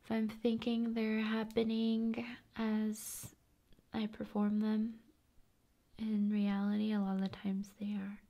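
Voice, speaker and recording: A woman hosting an ASMR video, talking in an unhurried, hushed, conversational way that moves between soft speech and whispering. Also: mid-range voice, completely flat delivery.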